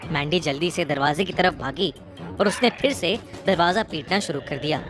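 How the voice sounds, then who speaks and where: A woman narrating a scripted horror story in a measured, suspenseful way, phrase by phrase. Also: low-pitched. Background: prominent music bed.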